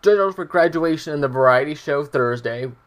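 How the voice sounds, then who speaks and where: Young male vlogger, slightly high voice, casual rambling storyteller with halting, meandering delivery. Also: clean tone. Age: young adult. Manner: hesitant, meandering, breaks off mid-sentence.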